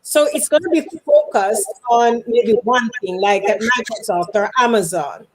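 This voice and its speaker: Woman host, non-native English speaker, emphatic and repetitive delivery, fairly high voice. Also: lively intonation, unhurried, slight background noise, rough recording. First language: not English. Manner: emphatic, repeats phrases for effect. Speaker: career show host. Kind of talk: live interview chat. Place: Canada.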